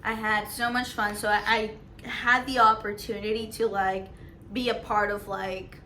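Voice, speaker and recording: A woman's voice: medium pitch, little pitch movement, normal speed, sharp tone, slight background noise. A young woman from Mexico, a podcast host, telling a long personal story in casual chat, full of fillers and restarts. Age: young adult. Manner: rambling, filler-heavy storytelling.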